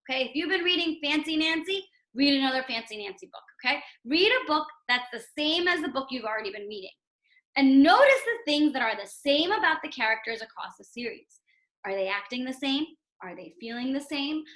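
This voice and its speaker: High, bright-voiced woman teacher. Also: reverberant. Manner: instructional, repetitive emphasis, checks with "right?" and "okay?". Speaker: elementary school teacher. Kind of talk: read-aloud lesson for young children.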